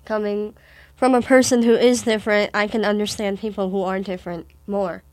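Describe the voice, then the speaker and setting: A teenage girl from Wisconsin with a Wisconsin accent, talking with her mother in a thoughtful, halting way with frequent restarts. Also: mid-range voice, flat delivery, darkish tone, no echo. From Wisconsin, USA. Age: teens. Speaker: daughter in conversation with her mother. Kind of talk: casual conversation.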